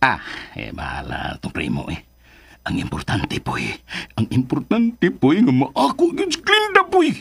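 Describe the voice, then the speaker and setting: An actor playing an obsessed, scheming older man in a radio drama, excitable and hot-tempered, blustering with a Filipino Cebuano accent. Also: medium pitch, measured pace, gravelly, breathy edge, exaggerated voice. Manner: agitated, blustering, swings from muttering to yelps. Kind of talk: Philippine radio drama acting.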